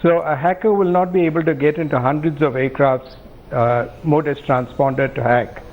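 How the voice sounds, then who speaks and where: A man's voice: on the high side, steady tone, normal speed, narrow, tinny audio. A man with an Indian accent explaining technical matters plainly as an expert guest in an interview. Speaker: aviation safety expert guest.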